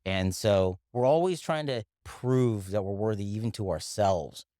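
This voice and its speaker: A middle-aged man from the Philippines talks as a guest about mindset and wellness. His voice sits low, and he speaks earnestly, slowing down to stress points. The recording is dry and close. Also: mellow, dry recording. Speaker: interview guest, wellness coach. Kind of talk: reflective interview talk.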